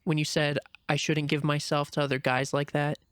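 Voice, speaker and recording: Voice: medium pitch, little pitch movement, moderate pace, no room sound, lightly acted. A man voicing a feisty small female rabbit, blunt and stubborn, in a dramatic reading of a screenplay. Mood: indignant, challenging.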